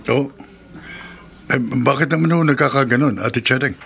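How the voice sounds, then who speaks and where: An actor playing a good-natured, henpecked taxi driver in a horror radio drama, hesitant and often thinking aloud. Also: male voice, mid-range voice, moderate pace, creaky, fairly bright tone, slight background noise. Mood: polite.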